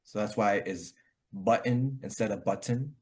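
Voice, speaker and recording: Low-voiced Connecticut man, casual rambling host. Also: measured pace, darkish tone. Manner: rambling with many fillers and restarts, laughs easily. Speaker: club host. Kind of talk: casual conversation practice.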